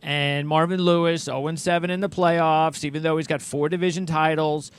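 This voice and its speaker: A man's voice: fairly high pitch, level tone, normal speed, clean tone, no echo. An American man hosting a sports interview, speaking conversationally with enthusiasm and long, rambling questions. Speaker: interview show host.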